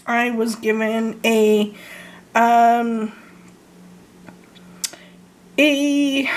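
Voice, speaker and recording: A young woman from Canada chatting casually about her fragrance purchases, hoarse and losing her voice, hesitant with many ums and frequent throat clearing. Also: a little high. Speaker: vlogger showing fragrance purchases. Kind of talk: casual haul chat.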